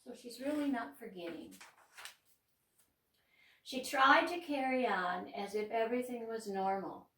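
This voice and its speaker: Middle-aged woman, Upper Midwest American accent, unhurried and expressive children's storyteller, recorded off-mic in an echoey room. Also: fairly high pitch, recorded from a distance. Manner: gentle, slow and expressive, pausing to explain pictures. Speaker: children's librarian hosting storytime. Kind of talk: reading a picture book aloud to children. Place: church room. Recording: roomy sound, mic set back.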